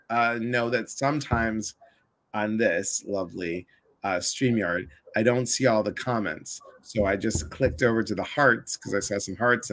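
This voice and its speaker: A man's voice: mid-range voice, a bit bright. A man from the Chicago area hosting a casual livestream conversation, chatty and full of asides. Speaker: livestream host.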